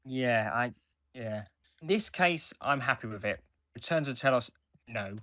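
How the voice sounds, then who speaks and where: A man co-hosting a podcast, giving reviews in a casual, halting chat with sentences that circle back. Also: mid-range voice, animated, bright, forceful tone, no echo.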